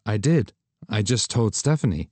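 A weary young woman, a retired model, speaks quietly and plainly, as a male narrator reads her lines. The voice stays low and dry, close to the mic in a dead studio, with a lively lilt. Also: moderate pace, no room sound. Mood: subdued. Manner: quiet, understated.

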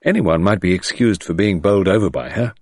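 Man playing an excitable gentleman, lively pitch. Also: brisk, no room sound, studio quality. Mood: smitten, protesting. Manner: earnest, excitable. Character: earnest, smitten gentleman, excitable and naive. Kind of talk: audiobook character voice.

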